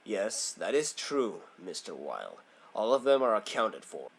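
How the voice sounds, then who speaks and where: A man's voice: fairly low pitch, big pitch swings, a little slow, creaky, soft background music, full character voice. A man playing a stern, formal bird prosecutor in a fan audio drama, with a dramatic, emphatic delivery.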